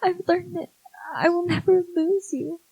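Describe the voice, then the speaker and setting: An actress playing a gentle, dying younger sister in a 1940s-style radio drama, speaking tenderly and haltingly. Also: female voice, extremely high pitch, fairly even intonation, gravelly, lo-fi sound, exaggerated voice. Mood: wistful, accepting. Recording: live stage recording with music behind.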